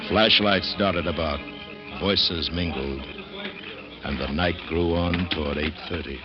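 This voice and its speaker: A man narrating a horror tale in old-time radio style, grave, measured and suspenseful. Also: low-pitched, fairly even intonation. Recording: muffled old radio recording. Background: loud dramatic music.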